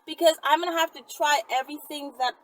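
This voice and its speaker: A woman talking casually and bluntly to viewers in an animated, slangy, unscripted way, as the host of her own channel. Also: high-pitched, quick pace, low-quality recording.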